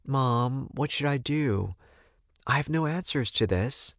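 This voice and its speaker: Earnest werewolf servant and son acted by a male narrator, anxious and pleading, lifted pitch, dry audiobook sound. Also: lively intonation, slow, a bit bright, no room sound, highs cut off. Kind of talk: audiobook character dialogue.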